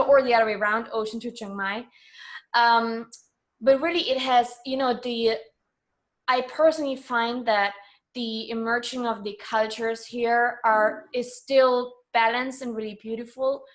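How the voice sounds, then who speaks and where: Higher-voiced woman from Germany, chatting. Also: fairly even intonation, a little slow. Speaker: interview guest. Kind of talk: casual conversational interview.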